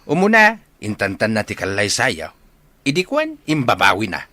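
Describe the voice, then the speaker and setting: Bright, swooping Ilocano village sidekick acted by a man. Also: lowish voice, wildly swooping pitch, moderate pace, no room sound, heavily acted. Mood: incredulous, egging on. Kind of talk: Ilocano comedy radio drama acting.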